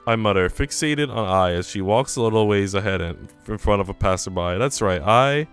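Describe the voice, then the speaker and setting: A man's voice: fairly low pitch, animated, normal speed, clear, resonant tone, soft background music. A man reading visual novel narration aloud in a live, casual way, now and then restarting a line.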